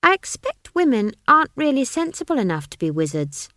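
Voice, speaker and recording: A defiant young country girl from a storybook, played by a woman narrator. The voice is raised and lively in pitch, with blunt, pointed delivery that challenges whoever is listening. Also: normal speed, no room sound, studio quality. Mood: grim, pointed.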